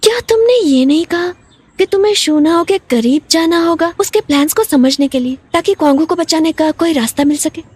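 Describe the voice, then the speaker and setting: A woman's voice: extremely high pitch, brisk, a little mellow. A young woman playing a spirited, evasive office assistant in a dubbed drama. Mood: earnest, secretive. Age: young adult.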